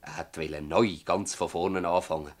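An actor playing a stubborn old farmer in a radio drama, speaking emphatically and haltingly with a Swiss German accent. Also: male voice, deep voice, steady tone, lightly acted. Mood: animated, admiring. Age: older. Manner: emphatic, halting, earthy testimony. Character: gruff old Swiss farmer, stubborn, defensive, worn by toil. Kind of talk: dialect radio drama acting.

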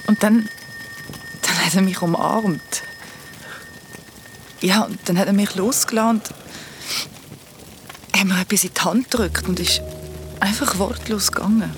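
A woman's voice: medium pitch, measured pace, pauses often, a little hiss or hum, lightly acted. An actress with a Swiss German accent playing a troubled woman in a naturalistic audio drama. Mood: haunted, sad. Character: troubled woman confessing a haunting night train memory.

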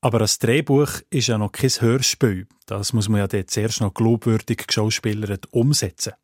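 A man with a Swiss German accent narrating a scripted radio feature as its presenter, with a husky voice. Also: lowish voice, steady tone, normal speed, darkish tone, no echo, studio quality. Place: dry studio.

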